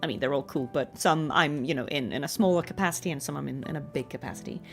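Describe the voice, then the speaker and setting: Woman streamer just chatting w viewers, lowish voice w lots of fry, giggly n sweet to chat, music going behind. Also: fast. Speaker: streamer and voice actress. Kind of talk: casual livestream chat to viewers. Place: at home.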